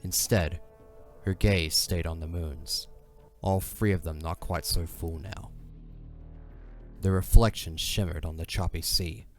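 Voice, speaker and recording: A man's voice: low-pitched, steady tone, a little slow, soft background music. A man narrating a scripted fantasy story in a dramatic audio drama style.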